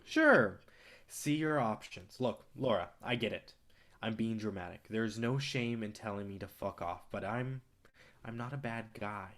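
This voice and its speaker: A man's voice: fairly low pitch, a little slow, a bit bright. A man acting in a staged play reading as a brash, cocky film distributor, swaggering and persuasive with plenty of profanity. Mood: pushy, persuasive. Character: brash, cocky, foul-mouthed male film distributor, smooth manipulator.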